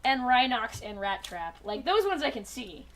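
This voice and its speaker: A woman's voice: fairly high pitch, sing-song, normal speed, slight background noise. A young woman co-hosting a fan fiction show, chatting casually with friends in a joking, opinionated way. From Alaska, USA.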